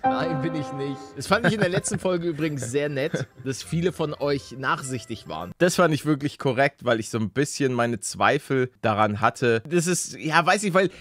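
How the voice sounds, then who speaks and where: A young man giving excitable, slangy casual commentary as he reacts to gaming videos. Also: on the high side, normal speed, soft background music. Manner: animated, slangy, youthful. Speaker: gaming YouTuber. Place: small indoor gaming room. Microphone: close desk microphone.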